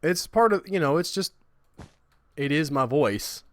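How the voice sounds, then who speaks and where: Squeaky-voiced guy rambling on his game stream, super dry close mic. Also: lively intonation, a little slow, fairly bright tone, dry recording. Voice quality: high-pitched, squeaky voice. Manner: rambling, self-deprecating, reading out chat. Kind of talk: casual livestream chat.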